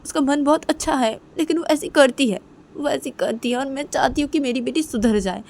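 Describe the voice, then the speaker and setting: A woman's voice: high voice, darkish tone, voice pushed up. Woman acting out an anxious, pleading, tearful mother. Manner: repetitive, imploring, drawn-out pleas.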